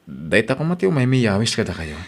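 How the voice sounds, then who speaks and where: A man's voice: fairly low pitch, sing-song, quick pace. A man playing a confused friend who keeps asking questions, in a radio drama. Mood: puzzled. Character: puzzled man named Mark, the wife's friend.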